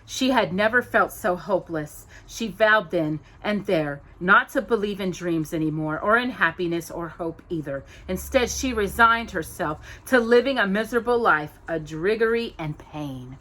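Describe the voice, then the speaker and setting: Woman reading a kids story out loud, really expressive, bright voice, big dramatic emphasis. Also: on the low side, moderate pace, few pauses, low-quality recording. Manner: dramatic emphasis on descriptive words. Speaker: storytime host reading aloud. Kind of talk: expressive children's storybook narration.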